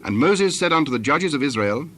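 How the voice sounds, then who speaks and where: A man narrating scripture from a script in a measured, formal way. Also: a little high, animated, fairly bright tone, a little hiss or hum.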